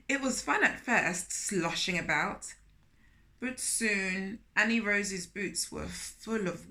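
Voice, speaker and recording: A woman reading a picture book aloud to young children, animated and speaking to them directly with questions. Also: medium pitch. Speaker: children's storyteller, host of a storytime series.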